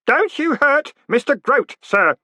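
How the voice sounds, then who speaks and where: A man's voice: extremely high pitch, sing-song, slow, bright, forceful tone, no echo, pitched above the performer's own voice, full character voice. A man voicing an earnest, nerdy young man who is obsessed with collecting pins, in a lifted, eager voice. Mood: threatening.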